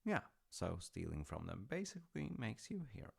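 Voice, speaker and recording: A man's voice: lowish voice, huge pitch swings, a little fast, vocal fry, a little mellow, breathy edge, heavily acted. A man playing an easygoing dad in an intimate audio roleplay, telling old myths casually with wry, understated humour. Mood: wryly amused. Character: easygoing, artsy dad telling Norse myths to his grown child.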